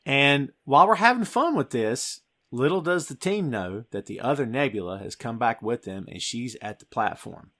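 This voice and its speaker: A man's voice: medium pitch, lively intonation, normal speed, few pauses, pushed and bright. A middle-aged American man hosting a podcast, talking about film in a casual, emphatic, conversational way. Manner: emphatic, conversational, addresses listener as "dude". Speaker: podcast host.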